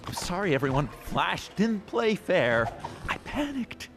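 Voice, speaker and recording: A smug, cowardly game villain. He sounds glib and easily rattled, creaky and lively in pitch. Music plays clearly underneath. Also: a little slow, exaggerated voice. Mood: panicky. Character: cowardly, smug male supervillain speedster, small-time crook. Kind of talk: video game cutscene acting.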